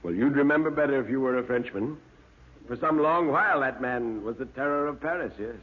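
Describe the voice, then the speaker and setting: A man's voice: mid-range voice, husky, voice pushed up, lightly acted. A man voicing a chatty museum manager with macabre relish and hesitant ums, in classic radio horror style. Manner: hesitant ums, drawn-out relish, chuckling asides. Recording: muffled narrow-band old radio recording.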